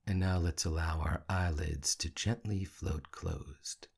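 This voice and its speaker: Very deep, gravelly man guiding meditation. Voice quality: gravelly, husky. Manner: hushed, soothing, with long pauses between short phrases. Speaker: meditation teacher. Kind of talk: guided meditation.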